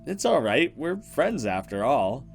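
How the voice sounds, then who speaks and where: A man's voice: mid-range voice, big pitch swings, a little slow, creaky. A man reads the part of a young woman in a horror visual novel. She is a loyal friend who likes to tease and reassure, delivered with a playful touch of drama. Music plays underneath. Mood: reassuring. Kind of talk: visual novel dialogue read aloud.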